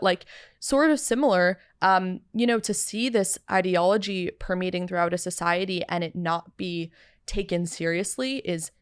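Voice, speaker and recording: A woman's voice: fairly low pitch, clear, resonant tone, dry recording. A young woman student speaking seriously and reflectively as a guest in a studio interview. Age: young adult. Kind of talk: conversational interview. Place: dark studio set with sofas. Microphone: studio mic on a boom arm.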